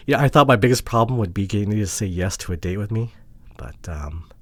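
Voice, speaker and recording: A man acts out a hurt, introverted young boyfriend in an intimate audio drama. His voice sits fairly low and is very breathy and husky. He talks in a hushed, halting way, frustrated and vulnerable as he opens up to his partner. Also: lively intonation, dry recording. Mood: hesitant, candid.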